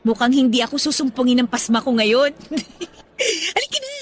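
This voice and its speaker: A woman's voice: high voice, big pitch swings, normal speed. An actress playing a playful, pleading young girlfriend in a Filipino radio drama, teasing and exclamatory. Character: bubbly, pleading young girlfriend who craves luxury.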